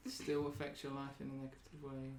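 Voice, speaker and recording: A man hosting a group talk in a meeting room, asking gentle questions with many hesitations and ums. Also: medium pitch, completely flat delivery, a little fast, slight background noise. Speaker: group facilitator and podcast host. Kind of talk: casual group discussion. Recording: roomy echo.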